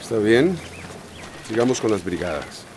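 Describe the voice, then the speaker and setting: An actor playing a responsible, careworn emergency committee leader in a radio soap opera, measured and authoritative. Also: male voice, lowish voice, big pitch swings, background noise. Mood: businesslike.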